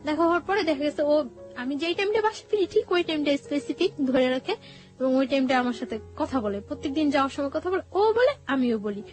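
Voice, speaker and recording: A woman with a Bangladeshi accent tells a personal story conversationally, halting and repeating phrases as she goes. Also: high voice, animated. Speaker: guest telling her life story. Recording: music bed behind the voice.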